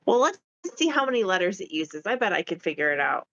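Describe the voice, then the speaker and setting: A woman's voice: on the low side, very animated, quick pace, fairly bright tone, lo-fi sound. A woman playing a tabletop role-playing game, chatting casually and thinking aloud in a tentative way.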